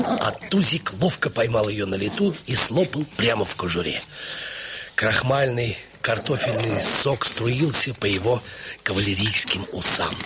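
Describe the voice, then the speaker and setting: An actor playing a wry, bemused dacha dweller who tells his humorous story with expressive, mock-solemn delivery. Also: medium pitch, background noise, close to an everyday voice. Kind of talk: dramatised literary storytelling with acted dialogue.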